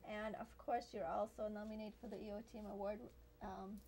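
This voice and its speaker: A woman's voice: medium pitch, little pitch movement, brisk, darkish tone, a little hiss or hum. A woman hosting a studio talk show, introducing a guest in a bubbly way with frequent ums. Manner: bubbly, says "um" a lot. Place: large television studio set.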